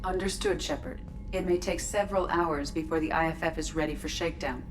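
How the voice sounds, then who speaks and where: An actress playing a dutiful ship AI in a video game, precise, even and formal. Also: female voice, deep voice, a little fast, close to an everyday voice. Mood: composed. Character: composed, dutiful female ship artificial intelligence. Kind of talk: video game character acting. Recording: game music behind the voice.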